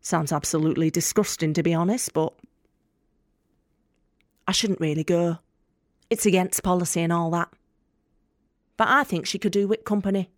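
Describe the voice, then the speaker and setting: A woman's voice: on the low side, normal speed, long pauses, no echo, natural voice. Actress as a blunt, wry Northern English woman, dry close sound. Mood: dry, guarded. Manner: deadpan, sardonic, confiding. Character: blunt, wry young working-class female debt collector with ailing mother. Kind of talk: dramatic monologue in an audio play.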